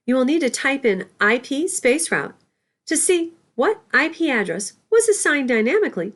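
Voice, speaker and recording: A woman's voice: high voice, sing-song, normal speed, fairly bright tone. A woman instructor reading a scripted tutorial in a careful, instructional way.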